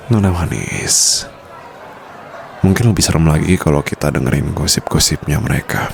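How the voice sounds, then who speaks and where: A man's voice: a bass voice, unhurried, gravelly, pauses often, slight background noise, exaggerated voice. A man playing a suave, protective mafia boss boyfriend in an intimate, teasing ASMR roleplay. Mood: teasing, calm.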